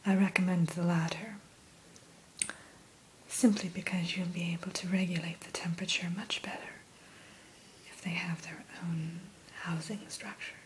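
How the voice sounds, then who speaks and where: A woman whispering a gentle, courteous ASMR roleplay as the keeper of a fantasy creature shop, in a breathy voice. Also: on the low side, poor audio. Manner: slow, gentle, courteous shopkeeper patter. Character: gentle, courteous female keeper of a dragon hatchery. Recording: steady hiss behind close whisper.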